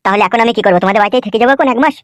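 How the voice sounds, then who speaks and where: A man voicing a lovesick, foul-mouthed young husband in a comedy cartoon, high-pitched and melodramatic, pleading and grumbling. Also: racing, natural voice. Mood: resigned, sulking. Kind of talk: amateur comedy cartoon dubbing. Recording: music under the voice.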